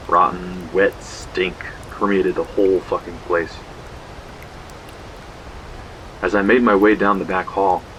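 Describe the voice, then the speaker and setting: Deep-voiced haunted young storyteller. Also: male voice, a bit bright, slight background noise, low-quality recording. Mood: grim, brooding. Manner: brooding, confessional. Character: haunted young man recounting an eerie ordeal. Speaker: narrator. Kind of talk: first-person horror audio drama narration.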